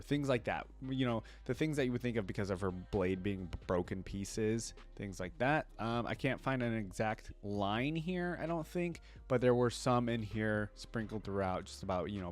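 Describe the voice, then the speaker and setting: Low-pitched man giving casual reactions. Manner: informal, musing. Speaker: reaction video host.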